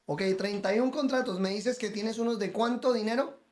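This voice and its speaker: High-voiced, emphatic male podcast host. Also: moderate pace, darkish tone. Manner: emphatic, coaching, keeps repeating the guest's name. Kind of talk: energetic interview hosting.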